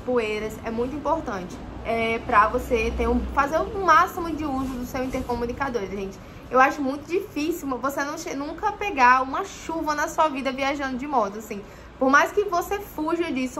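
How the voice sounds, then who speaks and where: A woman's voice: high-pitched, bright, forceful tone, a little hiss or hum. A young adult woman giving casual to-camera tips on motorcycling gear in her living room, chatty and full of fillers. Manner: chatty, often says "gente", many fillers. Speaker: motorcycling vlogger.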